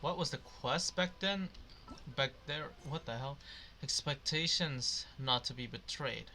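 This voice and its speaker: A man's voice: mid-range voice, unhurried, bright, forceful tone, slight background noise. A man doing casual let's play commentary, musing hesitantly and reading choices aloud. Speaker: gamer playing and reacting.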